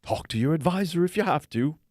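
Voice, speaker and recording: A man's voice: fairly high pitch, lively intonation, a little fast, a little mellow, no room sound, studio quality, natural voice. A man voicing a casual, admonishing male character in audiobook dialogue. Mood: fatherly, instructing.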